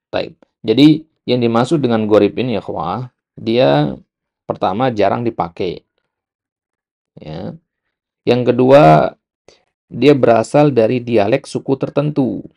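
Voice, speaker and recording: A man's voice: medium pitch, vocal fry, dark tone. A man with an Indonesian accent teaching a religious studies lesson, explaining step by step with repetition and short interjected check words. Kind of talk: explanatory religious lecture, reading and glossing an Arabic text.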